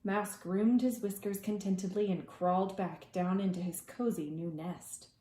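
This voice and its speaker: A woman preschool teacher reading a children's story aloud in a dramatic way, with hushed asides to young listeners. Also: medium pitch, steady tone, moderate pace, roomy sound.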